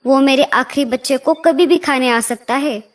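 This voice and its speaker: A woman's voice: high voice, moderate pace, close to an everyday voice. A woman voicing a grieving, vengeful mother bird with dramatic, distraught delivery in a children's cartoon story. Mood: grateful, vengeful.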